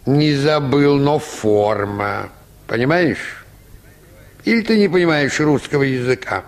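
An actor playing a grumpy, slovenly, officious village medical orderly in a Russian radio play, irritable, curt and bossy. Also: male voice, medium pitch, animated, a little slow.

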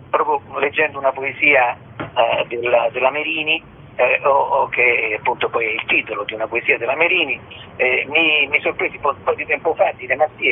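A man giving interview answers as a festival director, haltingly, searching for his words. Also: medium pitch. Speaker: theatre festival artistic director, radio guest. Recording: narrow phone line with background noise.

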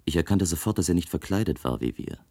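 Very deep-voiced, reserved futuristic narrator. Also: male voice, lively intonation, fast, vocal fry, dark tone, slightly rough, dry recording, clean studio sound, full character voice. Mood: reflective. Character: curious, reserved husband from a future society, narrating. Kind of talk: German science-fiction radio drama narration and dialogue.

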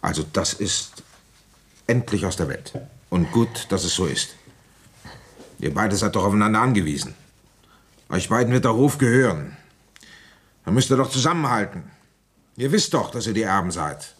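A village police commissioner in his fifties with a very low, husky voice. He is worn down by a cold yet ambitious, coaxing people like a blunt father in a radio play. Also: lively intonation, moderate pace, pauses often. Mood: coaxing, firm. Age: middle-aged. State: feverish with a cold. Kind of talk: German radio drama acting and first-person narration.

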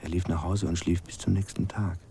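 An actor playing a grave village man who recounts a haunting past in a hushed, halting radio drama narration, his husky voice often sinking to a whisper. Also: low voice, steady tone, a little hiss or hum. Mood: grave, haunted. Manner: hushed, halting storytelling in short sentences.

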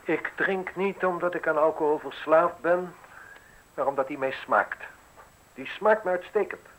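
An actor playing a self-assured, mocking man in his thirties in a radio drama, speaking deliberately with ironic, theatrical pauses. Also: a little high, a bit bright, muffled recording. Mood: defiant, amused. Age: 30s. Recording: husky-sounding radio play recording.

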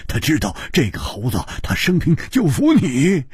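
A man voicing a boisterous, hard-drinking martial arts comrade, loud, jovial and mocking. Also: a little high, wildly swooping pitch, normal speed, breathy edge, no room sound, clean studio sound, full character voice. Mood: gleeful.

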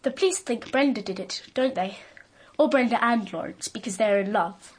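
Precocious, bossy young girl, high-voiced. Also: normal speed, breathy edge. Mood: bossy, knowing. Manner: blunt, smug, taunting. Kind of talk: radio drama acting.

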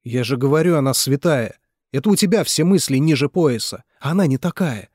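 A man voicing an agitated young male physicist defending the woman he loves, in a husky voice, in heated outbursts. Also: medium pitch, sing-song, normal speed, dark tone, no room sound, clean studio sound.

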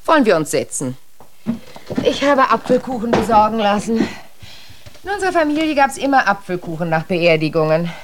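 An actress playing a composed, imperious middle-aged widow in a German radio drama, speaking in a genteel, pointed manner. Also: female voice, mid-range voice, sing-song, normal speed, a little hiss or hum, natural voice. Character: composed, sharp-tongued middle-aged widow running the family shop.

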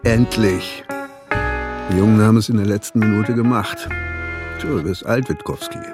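Schauspieler als alternder, müder Polizist, tiefe Stimme. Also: male voice, on the low side, moderate pace, over music, natural voice. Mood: wistful, resigned. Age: older. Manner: musing, colloquial. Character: ageing, world-weary male police officer, wistfully grumbling. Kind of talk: German radio crime drama acting.

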